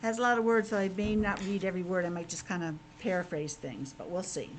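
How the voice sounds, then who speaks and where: A woman's voice: fairly low pitch, fairly even intonation, quick pace. A woman teacher reading a picture book aloud to young children, breaking off for simple conversational asides. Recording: clearly noisy background.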